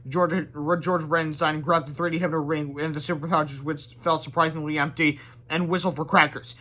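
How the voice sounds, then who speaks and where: A man reading a storybook aloud in an animated way, with exclaimed sound effects and off-script asides. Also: a little high. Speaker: read-aloud presenter. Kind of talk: expressive storybook read-aloud with ad-libbed asides.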